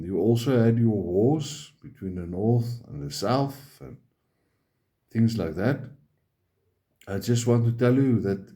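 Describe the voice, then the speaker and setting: A South African man with an Afrikaans accent, a native Afrikaans speaker, talking straight to his viewers in a halting, emphatic monologue that repeats words. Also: mid-range voice, warm tone. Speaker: vlogger.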